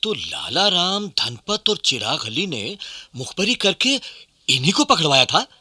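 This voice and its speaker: An actor playing an aggrieved, loyal Indian sepoy in a radio play, speaking earnestly and emphatically. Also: male voice, a little high, huge pitch swings, measured pace, bright, forceful tone, slightly rough, no room sound, heavily acted. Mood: confiding, regretful.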